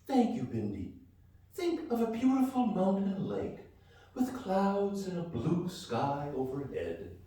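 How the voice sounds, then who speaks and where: A man playing a calm meditation host in a spoof radio sketch, giving gentle deadpan instructions. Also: sits high, takes it slowly, a little hiss or hum, heavily acted. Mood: soothing, deadpan. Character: soothing male guided-meditation host, deadpan comic. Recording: echoey hall stage, distant mic.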